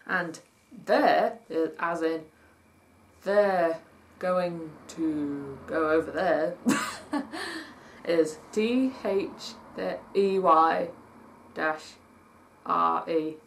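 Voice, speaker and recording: Slow-talking woman with a husky voice. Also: low-pitched. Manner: rambling, chatty, laughs at herself, spells words out letter by letter. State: husky voice, not warmed up. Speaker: vlogger. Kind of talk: casual vlog, reading points from a list and commenting. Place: at home by day, with traffic outside. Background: faint traffic.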